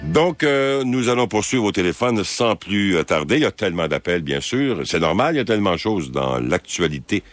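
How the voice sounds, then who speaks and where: Slow, deep-voiced Quebec radio host. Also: male voice, very animated, no room sound, soft background music. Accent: Quebec French. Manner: slow, hesitant, many drawn-out fillers. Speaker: overnight radio call-in host. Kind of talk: late-night phone-in talk radio. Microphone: studio microphone.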